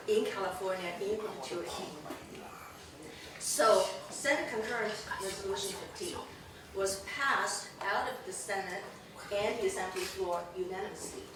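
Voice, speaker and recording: A middle-aged woman, slightly hoarse, giving an earnest, emphatic speech without a microphone as an event host. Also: medium pitch. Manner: earnest, emphatic, animated gestures. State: hoarse, losing her voice. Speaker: community leader, event host. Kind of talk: formal public speech. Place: large room with a backdrop, indoors. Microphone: no microphone, recorded by a distant camera. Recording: echoey room from a distance, noisy.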